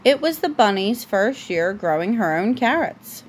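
A woman reading a picture book aloud to a child at home, breaking off for informal, gently correcting asides. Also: mid-range voice, animated, measured pace, slight background noise. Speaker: parent reading a bedtime story to a child.

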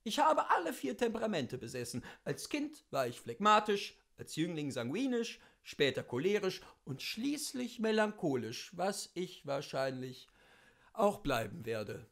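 A man reading as a witty, worldly philosopher of seventy-two, telling his memoirs in a theatrical, rhetorical and deliberate literary style. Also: sits high, very animated, gravelly, a bit bright, full character voice. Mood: self-satisfied.

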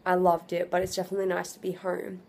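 A young woman vlogging from her bedroom, hoarse and losing her voice from a cold, chatting casually into a phone camera. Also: lowish voice, monotone, quick pace, darkish tone, a little hiss or hum. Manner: chatty, with fillers. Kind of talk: casual vlog update. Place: small bedroom at home. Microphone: phone camera at arm's length.

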